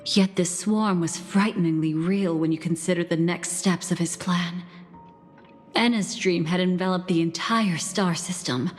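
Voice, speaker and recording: An actress voicing a calm, enigmatic female storyteller in a video game, narrating in a composed, deliberate, solemn way. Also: on the low side, close to an everyday voice. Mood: composed, knowing. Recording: reverberant, music underneath.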